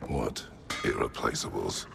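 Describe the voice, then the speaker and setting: A man playing a stern, terse, brooding warrior god in a video game, with a deep, gravelly voice. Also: unhurried, music in the background, heavily acted. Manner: terse, blunt. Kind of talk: video game character acting.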